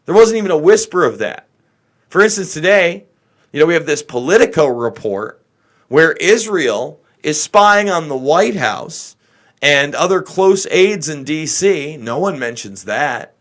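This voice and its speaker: A man's voice: on the high side, big pitch swings, measured pace, a bit bright. Blunt, exasperated American man talking politics. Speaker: political talk show host. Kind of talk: casual unscripted political commentary.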